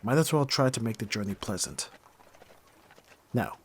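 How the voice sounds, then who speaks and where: A man playing a bitter, hardened young thief in an ASMR roleplay, speaking with mockingly courteous, formal phrasing and pointed pauses. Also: medium pitch, sing-song, gravelly, slightly rough, heavily acted. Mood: controlled, sardonic. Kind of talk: scripted ASMR roleplay acting.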